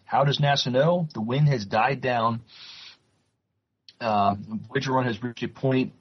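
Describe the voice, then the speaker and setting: A man co-hosting a podcast, reading news and chatting, with halting delivery when reading aloud. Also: mid-range voice, breathy edge. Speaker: podcast co-host. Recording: muffled, dull lo-fi audio.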